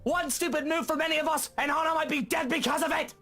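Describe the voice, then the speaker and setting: Casting: a bitter, sarcastic Briton in a horror game, high-pitched, sharp and animated over music. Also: male voice, quick pace. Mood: exasperated sarcastic. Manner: sarcastic, indignant. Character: proud, bitter, exasperated husband in a horror game.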